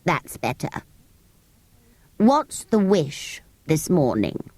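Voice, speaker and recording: A woman voicing a grumpy, ancient, sleepy sand fairy in a storybook reading, speaking low and drowsily. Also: very animated, extremely slow, darkish tone, dry recording, exaggerated voice. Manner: cross, grumbling, indulgent. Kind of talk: audiobook character voice.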